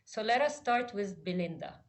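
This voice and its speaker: A woman's voice: medium pitch, big pitch swings, moderate pace. A woman moderating a panel discussion, polite and hesitant with frequent fillers.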